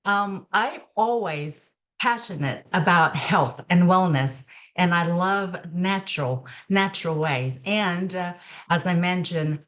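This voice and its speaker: A middle-aged woman from Thailand with a Thai accent, a nurse speaking as an interview guest, slow and hesitant with many pauses and fillers. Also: fairly low pitch, fairly bright tone. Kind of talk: conversational interview.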